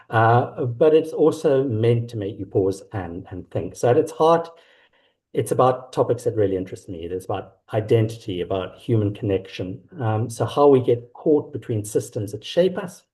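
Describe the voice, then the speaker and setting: A middle-aged South African man talking reflectively and haltingly as an author being interviewed. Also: mid-range voice, mellow. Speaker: author guest. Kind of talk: conversational interview about own work.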